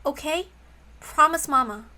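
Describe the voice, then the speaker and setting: Woman playing a doting, high-voiced young mother. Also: a little slow, bright, forceful tone, full character voice. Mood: gentle, apologetic. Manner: tender, soothing, affectionate.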